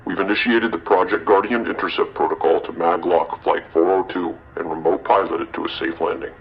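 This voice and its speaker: A man's voice: low voice, steady tone, brisk, slightly rough. A lo-fi radio transmission of a man playing a polished defense computer. The delivery is formal and composed, like a procedural system announcement in an audio drama.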